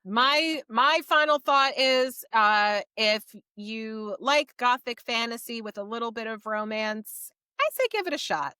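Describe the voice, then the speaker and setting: A woman's voice: a little high, unhurried, few pauses, bright, forceful tone, smooth, dry recording, studio quality. A woman hosting a podcast in casual, informal chat, speaking with gushing emphasis. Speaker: podcast host. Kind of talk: casual book discussion chat.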